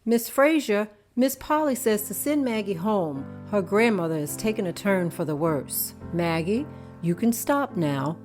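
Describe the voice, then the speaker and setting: A kind, friendly piano teacher, as a woman puts her on. She speaks at a mid pitch with faint music underneath, a little away from the mic. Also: lively intonation, a little slow, a little mellow, natural voice. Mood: kindly.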